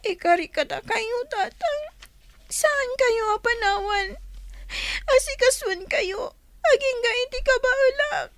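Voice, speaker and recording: A woman's voice: extremely high pitch, expressive, slow pace, full character voice. A woman acting a devoted, worried young daughter in a radio drama, speaking in an earnest, pleading way. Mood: tearful, pleading.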